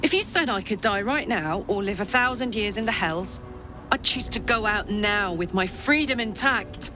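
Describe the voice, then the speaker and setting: A woman's voice: medium pitch, lively intonation, normal speed, over music, lightly acted. A woman acting a tough, big-hearted, defiant warrior in a fantasy video game, frank and emotionally open. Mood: defiant, firm.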